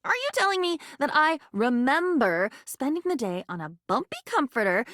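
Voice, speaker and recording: A woman playing a living diary in a video game, a curious, quirky and excitable character who muses aloud in a chatty, theatrical, whimsical way. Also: high-pitched, no room sound, studio quality.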